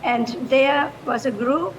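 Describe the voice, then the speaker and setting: An older woman with a German accent, speaking off the cuff in a halting way as a retired language teacher, in a noisy recording. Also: lo-fi sound. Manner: halting, hesitant delivery. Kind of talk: improvised public remarks. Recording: noisy room recording with low rumble.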